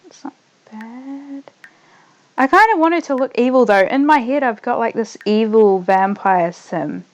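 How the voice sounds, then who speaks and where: A young woman with a New Zealand accent tinged slightly Australian, chatting loosely as a streamer while she plays, trailing off quietly as she concentrates. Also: fairly high pitch, animated, measured pace, highs cut off. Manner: rambling, trails off softly when focused on the game. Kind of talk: casual gaming livestream chat.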